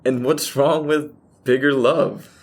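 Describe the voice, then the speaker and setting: A man's voice: medium pitch, steady tone, slow. An actor playing an earnest, caring man who is affectionate with his partner, in naturalistic radio play dialogue.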